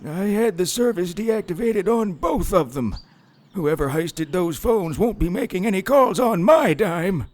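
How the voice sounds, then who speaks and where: An actor playing a guarded, grumbling older husband in an audio drama, with a folksy, exasperated manner. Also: male voice, high voice, lively intonation, a little slow, a little mellow. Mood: indignant.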